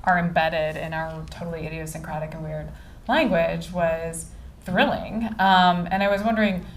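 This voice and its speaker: A woman with a somewhat low voice hosts a conversation at a bookstore event. Her questions ramble and grow animated, packed with fillers. A faint room hum sits behind her. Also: moderate pace. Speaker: event interviewer. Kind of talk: casual conversation at a book event.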